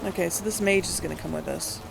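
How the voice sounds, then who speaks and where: A man's voice: high-pitched, fast, vocal fry, background noise. A man giving casual gameplay commentary, thinking aloud as he hosts a let's play. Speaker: gamer, let's play host.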